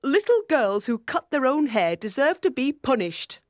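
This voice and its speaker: A woman's voice: fairly high pitch, big pitch swings, normal speed, dry recording, clean studio sound. Woman voicing a haughty, scolding older aunt. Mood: stern reproach. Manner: haughty, critical. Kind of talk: audiobook character voice.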